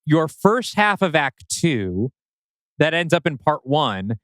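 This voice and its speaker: A man's voice: fairly high pitch, very animated, slow pace, fairly bright tone, no room sound. A man co-hosting a podcast in casual discussion. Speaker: podcast host.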